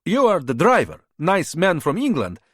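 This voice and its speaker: A man voicing a smooth-talking male border fixer of about thirty, speaking broken English with a foreign accent, pushy and flattering. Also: fairly high pitch, moderate pace, no echo, studio quality, voice pushed up. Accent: unplaceable foreign accent.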